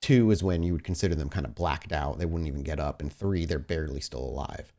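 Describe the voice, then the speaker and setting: A man's voice: rumbling low, fast talker, warm tone. A man narrating as game master of a tabletop roleplaying game, conversational and descriptive, speaking directly to his player. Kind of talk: improvised narration mixed with casual table talk.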